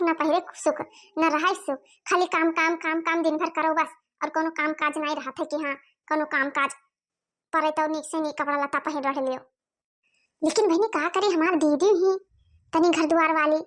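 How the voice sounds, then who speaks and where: A woman voicing a showy, sharp-tongued village mother in a comic cartoon, with an Awadhi accent and a complaining, needling manner. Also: sits high, fairly even intonation, normal speed. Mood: grumbling.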